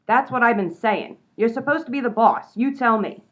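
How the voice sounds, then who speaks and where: A woman's voice: on the high side, normal speed, highs cut off, natural voice. A woman playing a tough, exasperated gangster sidekick in a radio comedy, nagging in old-time mobster slang. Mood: defiant nagging. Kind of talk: comedy radio play acting.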